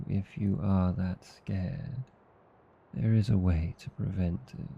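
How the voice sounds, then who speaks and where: A man with an Australian accent playing a gentle, reassuring vampire husband in an intimate ASMR roleplay, tender and soothing. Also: highs cut off, heavily acted. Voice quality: low, dark, gravelly. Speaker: voice actor.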